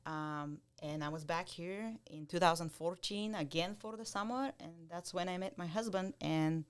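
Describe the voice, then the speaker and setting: A woman with a Bulgarian accent and a fairly low voice answers podcast questions in a blunt, direct way and laughs easily. She speaks English as a second language and sounds to be in her thirties. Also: normal speed, smooth. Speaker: guest, loan officer. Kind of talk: casual podcast interview.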